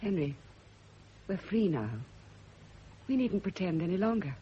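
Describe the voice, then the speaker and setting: A woman's voice: medium pitch, animated, close to an everyday voice. An actress playing a fervent, lovesick woman who confesses hidden passion, in a theatrical classic radio drama style. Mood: passionate, pleading. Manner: fervent, breathy pauses, theatrical intensity. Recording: dull old radio recording, faint hiss.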